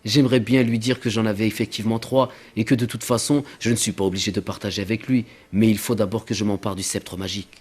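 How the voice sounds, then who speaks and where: A man's voice: fairly low pitch, little pitch movement, fast talker, few pauses, a little mellow, breathy edge. A man voicing a greedy, cowardly traveling companion in a religious cartoon, given to sly muttered asides and jittery protests. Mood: sly, scheming. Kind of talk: dubbed religious cartoon acting. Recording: music under the voice.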